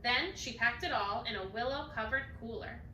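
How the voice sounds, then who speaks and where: A woman reading a children's bedtime story aloud in an expressive, animated way, acting out the characters' lines. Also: on the high side, moderate pace. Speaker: storybook reader. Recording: roomy, distant mic, faint noise.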